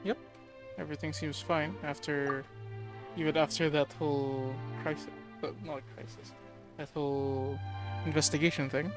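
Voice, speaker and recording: A man's voice: fairly high pitch, little pitch movement, moderate pace. A man streaming a video game who gives short, casual reactions and often chuckles. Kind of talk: casual reactions over gameplay. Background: game music.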